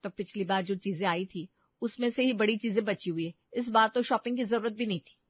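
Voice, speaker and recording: A woman voicing a practical, talkative young-wife character in a household story, with an animated, protesting manner. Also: mid-range voice, fairly quick, no echo, highs cut off, close to an everyday voice. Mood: casual. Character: practical, talkative elder daughter-in-law in a household.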